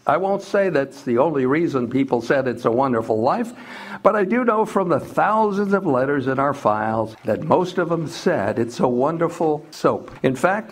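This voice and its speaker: A man's voice: a little high, slightly rough. A man announcing and narrating in formal, stately 1940s radio style.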